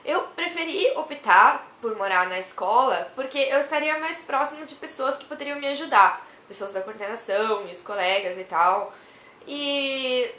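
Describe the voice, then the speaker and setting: A young woman from Brazil who is hoarse from a cough, chatting to camera in a rambling way and laughing often. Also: a little high, a bit bright, reverberant. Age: young adult. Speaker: vlogger. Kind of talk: casual chat to camera.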